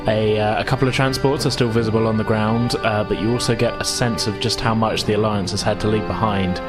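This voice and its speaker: A man acting as game master, improvising descriptive narration in a halting way. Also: lowish voice, fairly even intonation. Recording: music behind the voice.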